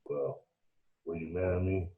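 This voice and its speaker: A man's voice: deep voice, fairly even intonation, a little slow, phone-quality sound. A man voicing a tired, grumbling lighthouse keeper in an amateur radio play. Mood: weary.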